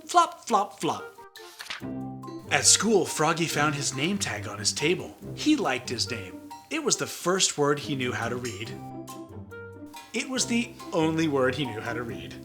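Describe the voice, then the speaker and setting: A man co-hosting and narrating an animated children's read-aloud, with joking asides. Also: a little high, unhurried. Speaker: co-host and storybook narrator. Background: music.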